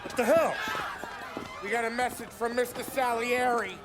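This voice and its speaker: Brash, high-pitched gangster gunman. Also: steady tone, moderate pace, very noisy. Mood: urgent, aggressive. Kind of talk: cinematic crime game acting.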